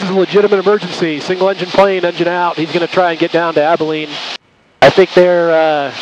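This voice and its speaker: Middle aged guy pilot chatting on headset, quick n higher voice, cockpit noise. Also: creaky. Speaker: pilot narrating to camera. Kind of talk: casual in-flight commentary. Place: small plane cockpit in flight. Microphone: aviation headset mic. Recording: loud aircraft cockpit noise.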